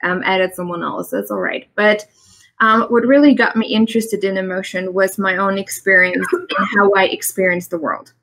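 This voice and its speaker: A woman with a German accent speaks at a medium, everyday pitch. She talks in an earnest, reflective way, often pausing on fillers and laughing lightly, as a guest on an online live show. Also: fairly even intonation, gravelly, a bit bright, poor audio. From East Germany. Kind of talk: conversational interview. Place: home in the Midwest. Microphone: online live stream.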